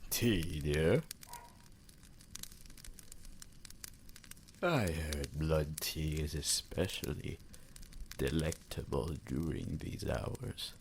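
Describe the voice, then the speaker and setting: A man playing an ancient, possessive and refined male vampire lover in an intimate ASMR roleplay, speaking in formal, theatrical phrases with pauses. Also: extremely low pitch, lively intonation, gravelly, a little hiss or hum, full character voice. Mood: wistful, savoring.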